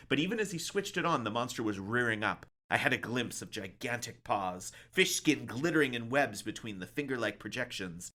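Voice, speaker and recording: A man reading a somber story aloud as a librarian, with theatrical, deliberate phrasing. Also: medium pitch, pushed and bright. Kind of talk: dramatic storybook narration.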